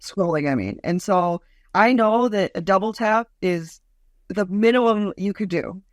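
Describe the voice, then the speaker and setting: A woman in her forties with a deep voice, a comedian guest telling stories in a lively, mocking way. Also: measured pace, dry recording, clean studio sound. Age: 40s. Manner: lively, storytelling, mocking asides. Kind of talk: casual interview chat.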